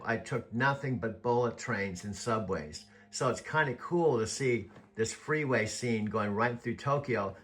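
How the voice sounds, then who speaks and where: A man's voice: mid-range voice, a bit bright. A man with an American accent hosting a video, chatting in a rambling, folksy storytelling way. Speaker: video host. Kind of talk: casual chat.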